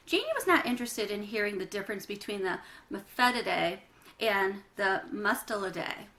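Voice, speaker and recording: Animated, bright-voiced woman reading a storybook. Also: on the high side. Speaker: children's read-aloud reader. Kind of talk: storybook narration with chatty asides to young listeners.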